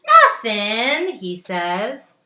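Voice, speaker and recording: A woman voicing an eager, restless little brother from a storybook, with an excitable, pleading delivery. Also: fairly high pitch, extremely slow, reverberant, exaggerated voice.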